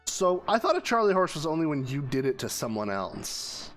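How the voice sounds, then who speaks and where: A man's voice: on the high side, big pitch swings, moderate pace, a bit bright, soft background music. A man chatting casually as co-host of a gaming video, with a jokey, blunt way of talking. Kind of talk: casual chat among friends over a game video.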